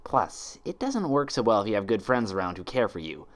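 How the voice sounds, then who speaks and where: A man's voice: on the low side, big pitch swings, fairly quick. A man voicing an earnest, bookish young female unicorn in a storybook, speaking carefully and with worry. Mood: resolute, warm.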